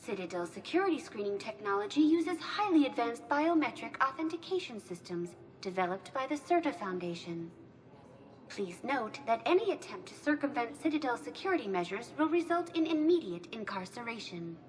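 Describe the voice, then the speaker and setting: High-pitched polite virtual assistant. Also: female voice, sing-song, moderate pace. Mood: courteous, informative. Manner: scripted, courteous, announcer-like. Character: polite synthetic female information assistant, courteous and official. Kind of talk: video game acting.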